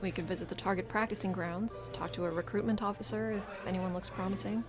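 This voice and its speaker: A woman's voice: mid-range voice, steady tone, quick pace, music in the background, natural voice. A woman playing a self-assured, bossy squadron strategist in an audio drama, speaking in a formal, precise, businesslike way. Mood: composed, brisk.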